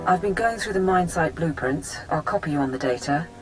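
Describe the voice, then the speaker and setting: A man playing a dutiful technical support officer in a video game, brisk, clipped and businesslike. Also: a little high, expressive, faint music behind, close to an everyday voice, low-quality recording. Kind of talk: video game cutscene acting.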